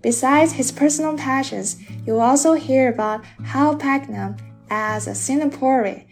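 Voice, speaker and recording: Higher-voiced woman podcast host. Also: a little slow, soft background music. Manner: smooth, even narration; loose, filler-laden questions. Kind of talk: scripted narration and interview questions.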